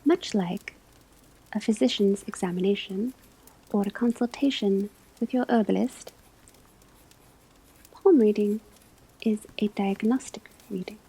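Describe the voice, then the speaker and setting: A woman speaks as a calm teacher at a school of witchcraft in a soft ASMR roleplay. Her voice is very breathy and mellow, often slipping into a whisper. She takes her time, choosing formal, measured phrases with gentle strictness. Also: mid-range voice, poor audio.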